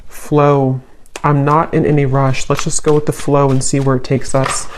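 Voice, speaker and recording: A woman giving a casual tarot reading as host, musing aloud in a halting, tentative way. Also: a bass voice, level tone, a little hiss or hum. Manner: halting, musing, hedging delivery. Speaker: tarot reader, channel host.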